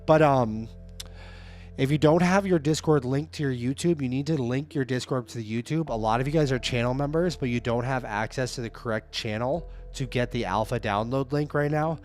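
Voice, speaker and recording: A man with a hoarse, fading voice chatting casually on a livestream, upbeat and talking straight to his viewers. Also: mid-range voice, moderate pace, darkish tone. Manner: upbeat, hype-driven, addresses viewers directly. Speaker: livestreaming app developer.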